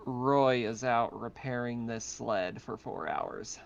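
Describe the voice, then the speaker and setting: Clear-voiced male game master, slightly muffled. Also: mid-range voice, slow pace. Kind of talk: casual tabletop game narration and chat. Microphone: online voice chat. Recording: slightly muffled online voice call.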